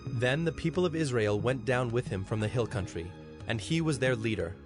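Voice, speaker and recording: A man narrating scripture in a solemn, measured storytelling manner. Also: mid-range voice, animated. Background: music.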